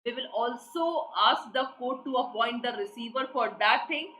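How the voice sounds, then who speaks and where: A woman's voice: a little high, normal speed, pushed and bright. A woman with an Indian English accent teaching a live online exam class, repeating questions and prompting students for answers. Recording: echoey room with faint noise.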